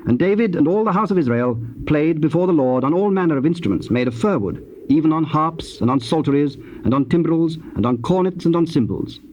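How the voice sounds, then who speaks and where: A man narrating dramatized scripture in a measured, formal storytelling manner. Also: fairly high pitch, expressive, a little mellow. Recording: dull tape with faint noise.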